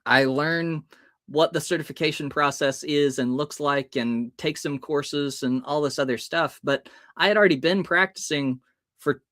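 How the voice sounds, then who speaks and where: A man's voice: on the high side, moderate pace, a bit bright, no room sound, studio quality. A man in his thirties from the United States, a hypnotist guest telling stories in an interview and acting out the people in them. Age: 30s. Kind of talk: storytelling in an interview.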